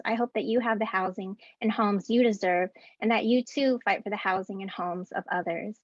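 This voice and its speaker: Earnest woman giving a measured speech. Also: mid-range voice, dry recording. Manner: measured, earnest, deliberate delivery with rhythmic lists. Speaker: keynote speaker and educator. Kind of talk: prepared speech at a scholarship ceremony. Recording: dull, dry video-call audio.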